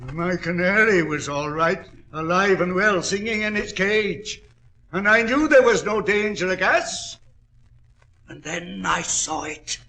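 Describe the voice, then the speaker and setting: A miner driven half mad by horror in an old radio play. His voice is high and piercingly bright. He speaks slowly and haltingly, with anguished melodrama. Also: exaggerated voice. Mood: hushed fear. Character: terror-crazed miner, a shaken strong man raving. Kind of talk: 1940s radio acting. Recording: old-time radio drama recording.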